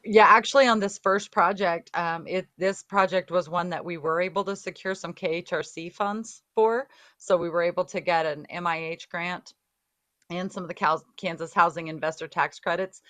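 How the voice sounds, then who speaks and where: A woman's voice: fairly low pitch, fairly even intonation, moderate pace, a bit bright, no echo. A woman testifying before a legislative committee, answering questions plainly with frequent ums and restarts. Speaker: local housing official testifying.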